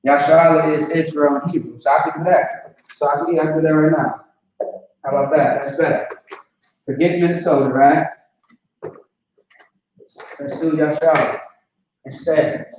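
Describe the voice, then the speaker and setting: A man's voice: fairly high pitch, steady tone, moderate pace, pauses often, a little mellow, a little hiss or hum. Casual man teaching in an echoey room. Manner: casual, repetitive, checks understanding often. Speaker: language teacher. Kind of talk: informal online language lesson. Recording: distant lo-fi mic in a very echoey room.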